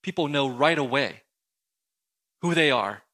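American guy, writer being interviewed, bright forceful voice, lively and emphatic. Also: mid-range voice, a little slow. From United States. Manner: animated, emphatic explaining. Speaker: author. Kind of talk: interview conversation.

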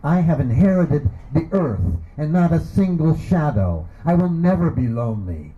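Man reading poetry, slightly high voice. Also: big pitch swings, moderate pace. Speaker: poet reading his work. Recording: muffled lo-fi recording.

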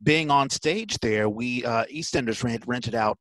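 A man's voice: mid-range voice, measured pace, fairly bright tone, no echo. A man with an American accent, co-hosting a podcast and reminiscing casually in an enthusiastic, appreciative way. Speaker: podcast co-host.

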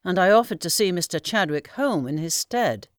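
A woman's voice: on the low side, lively intonation, moderate pace, clean tone, no room sound, studio quality, close to an everyday voice. A woman voicing a courteous young yeoman soldier who speaks in a hurry. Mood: hurried.

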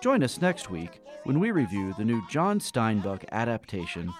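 A man's voice: on the low side, huge pitch swings, moderate pace, soft background music. A man playing a radio announcer and newsreader, delivering scripted broadcast lines. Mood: announcing.

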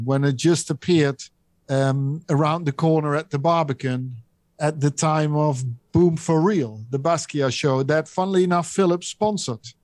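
Dutch-accented man, halting explanation. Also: a little high, darkish tone, dry recording. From Netherlands. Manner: hesitant, many ums and restarts. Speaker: auction house specialist. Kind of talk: interview explaining an artwork.

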